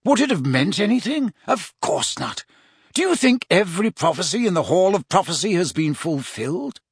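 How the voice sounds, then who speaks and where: A man's voice: sits high, big pitch swings, unhurried, slightly rough, no room sound, studio quality, pitched above the performer's own voice, exaggerated voice. A man voicing an old, wise headmaster wizard in an audiobook, speaking formally and emphatically as an earnest, urgent mentor. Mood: impatient, agitated.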